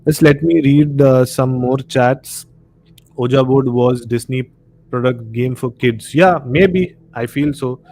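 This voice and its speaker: A man's voice: medium pitch, takes it slowly, darkish tone, soft background music. A man with an Indian accent hosting a casual live discussion, rambling a little and repeating words for emphasis. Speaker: live stream host. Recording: clear background noise, room ambience.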